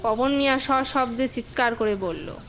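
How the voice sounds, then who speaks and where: A woman's voice: on the high side, measured pace, a bit bright, slight background noise. A woman giving scripted narration for a cartoon fable as the storyteller.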